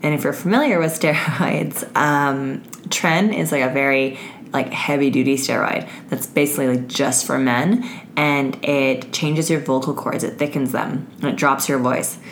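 A woman with an unusually deep, raspy voice that is hoarse and wearing thin. She hosts her own podcast in a loose, frank chat, peppered with fillers and swearing. A faint low rumble sits under the recording. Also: fairly even intonation, moderate pace. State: hoarse, voice giving out. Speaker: podcast host. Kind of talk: casual solo podcast chat.

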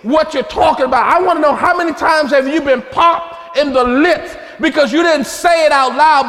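A man preaching a sermon with passion, shouting in rhythmic, repeated phrases. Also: extremely high pitch. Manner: impassioned, shouting in rhythmic repetitions. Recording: long hall reverb.

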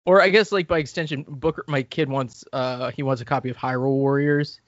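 A man's voice: medium pitch, steady tone, brisk, no room sound, dull audio. A man hosting a podcast, recapping TV in casual, rambling, profane banter. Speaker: podcast host.

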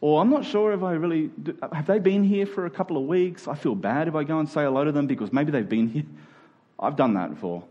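A man's voice: on the high side, fast, dark tone, lo-fi sound. A man preaching a conversational sermon to a congregation, in colloquial language with emphatic repeated phrases. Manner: colloquial, dramatizing imagined exchanges.

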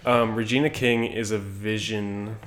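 Guy joking around about tv picks, lower voice, easygoing. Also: steady tone, measured pace, a bit bright. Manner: joking, rambling. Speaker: podcast co-host. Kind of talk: casual chat about television.

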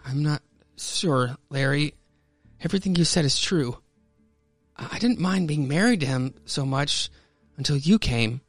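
A man's voice: on the high side, animated, unhurried, long pauses, no room sound, faint music behind. A man voicing a cold, guarded young wife in a radio-play crime melodrama, with curt delivery. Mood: hesitant, yielding.

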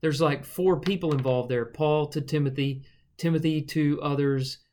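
A man's voice: fairly high pitch, fairly even intonation, moderate pace, darkish tone, slight background noise. A man co-hosting a casual Bible discussion, thoughtfully explaining scripture with a touch of self-deprecating humor. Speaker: Bible study co-host.

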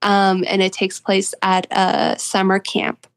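A woman's voice: mid-range voice, monotone, slow pace. A young adult woman talking casually as an interviewed author, agreeable and earnest in manner.

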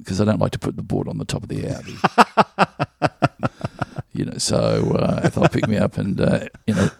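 A man's voice: lowish voice, wildly swooping pitch, fairly quick, vocal fry, mellow, husky, no room sound, clean studio sound. A middle-aged Australian man from Melbourne, a comedian guest in an interview, chatting and telling jokey stories with lots of restarts. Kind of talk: casual interview chat.

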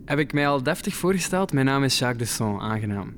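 An actor playing an awkward, apologetic young airline passenger in a radio drama, speaking halting, informal Dutch with a Flemish accent. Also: male voice, medium pitch, expressive, close to an everyday voice. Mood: teasing. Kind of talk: naturalistic radio drama dialogue. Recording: clear background noise.

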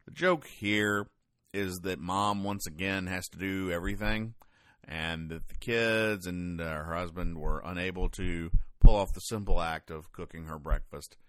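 A man with a fairly low voice delivers casual comedy commentary as a podcast host. His manner is deadpan and wry, and the sound is dry, with very little room echo. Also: unhurried. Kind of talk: casual comedic commentary, explaining comic strips.

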